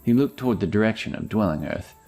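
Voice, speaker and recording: A man narrating a fantasy story in a measured, dramatic scripted style. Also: fairly low pitch, lively intonation, warm tone. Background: music.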